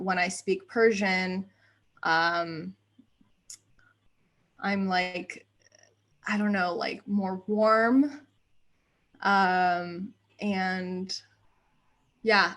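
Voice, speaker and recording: A woman in her thirties, raised in the United States, chatting as a guest on an interview show, laughing often and filling pauses with "um" and "like". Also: medium pitch, flat delivery, a bit bright. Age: 30s. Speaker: guest, actor and writer. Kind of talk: casual interview chat.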